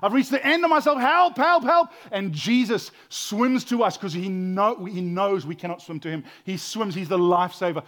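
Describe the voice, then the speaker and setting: High-voiced preaching man, hushed to loud. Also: normal speed, few pauses. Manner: swings from hushed whispers to loud exclaiming, stammers repeats. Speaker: pastor. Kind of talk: sermon.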